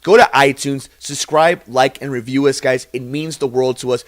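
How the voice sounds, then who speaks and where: A man's voice: medium pitch, steady tone, moderate pace, no room sound, studio quality. A man with an American accent hosts a casual podcast, speaking in an earnest, effusive way. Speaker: podcast host and interviewer. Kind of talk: casual podcast interview and sign-off.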